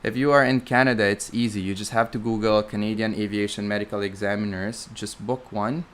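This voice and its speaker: Man with filipino accent, young adult, lowish pitch, loose rambling q&a chatter, lots of um and uh. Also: steady tone, a little fast, a little hiss or hum. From Philippines. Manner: chatty, rambling, self-correcting with many fillers. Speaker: flight instructor. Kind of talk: live Q&A stream.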